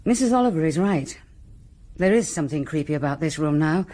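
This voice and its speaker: A middle-aged British village hostess in a radio play. Her voice is mellow and fairly low, with lively intonation. She stays composed, genteel and coolly practical. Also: a little fast. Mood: reserved. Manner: genteel, articulate, reserved. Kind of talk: radio drama acting.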